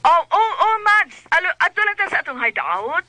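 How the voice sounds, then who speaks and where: A woman's voice: very high-pitched, sing-song, moderate pace, sharp tone, no room sound, exaggerated voice. A woman as a piercing, scheming phone pal. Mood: eager, chummy. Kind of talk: Filipino comedy radio drama acting.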